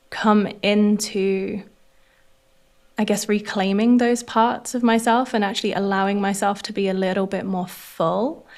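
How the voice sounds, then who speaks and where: Gravelly-voiced thoughtful young woman. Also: medium pitch, darkish tone. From Indonesia. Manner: thoughtful, unhurried, with frequent pauses and fillers. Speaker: guest. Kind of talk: reflective conversation. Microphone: remote call.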